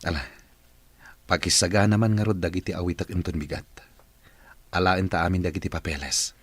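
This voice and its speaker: A man's voice: low-pitched, fairly even intonation, brisk. An actor playing a grateful, earnest businessman boss in a radio drama.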